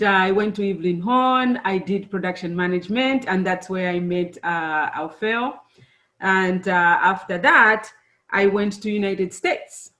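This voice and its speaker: A woman's voice: medium pitch, a bit bright. Zambian-born woman speaking to students. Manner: friendly, unhurried, many fillers. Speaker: engineer giving a talk to students. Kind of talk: presentation to students.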